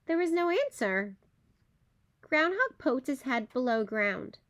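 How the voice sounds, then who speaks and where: A woman narrating a children's story aloud in an expressive storytelling style. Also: sits high, takes it slowly.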